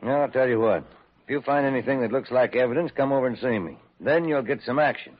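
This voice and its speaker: An actor playing a skeptical, folksy small-town lawman, unhurried and dismissive, in an old-time radio drama. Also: mid-range voice, lively intonation, close to an everyday voice. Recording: muffled old radio recording.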